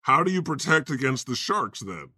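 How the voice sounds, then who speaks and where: Bright-toned man joking on a podcast. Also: medium pitch, big pitch swings, normal speed, slightly rough, dry recording, studio quality. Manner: playful, teasing, laughs often. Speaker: comedy podcast host. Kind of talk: improvised comedy banter.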